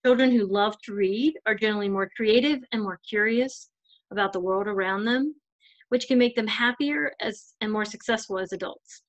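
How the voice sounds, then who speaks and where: A woman's voice: mid-range voice, normal speed, no room sound, rough recording. A woman narrating a children's storybook as a volunteer storytime reader.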